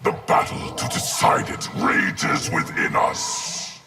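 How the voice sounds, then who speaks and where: A man's voice: takes it slowly, close to an everyday voice. A man voicing a collective of tormented souls in one warrior, solemn and formal in a fighting game, with music behind. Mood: grave. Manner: solemn, formal, declamatory, speaks as "we". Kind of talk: fighting game character acting.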